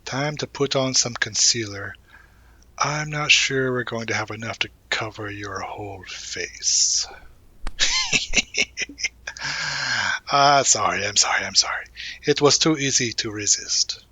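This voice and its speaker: A man playing a supportive, gently teasing young college guy in an intimate audio roleplay, talking casually and reassuringly with hesitant pauses and murmured asides. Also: medium pitch, breathy edge, no room sound, exaggerated voice. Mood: playful, teasing. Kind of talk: intimate audio roleplay, one-sided conversation.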